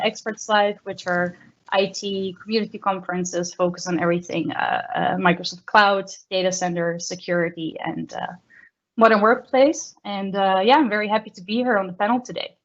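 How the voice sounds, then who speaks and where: A woman's voice: mid-range voice, steady tone, moderate pace. A woman from the Netherlands, a community organizer, introducing herself on a panel in an upbeat tone with many fillers. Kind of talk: self-introduction. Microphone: video call. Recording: muffled video-call audio.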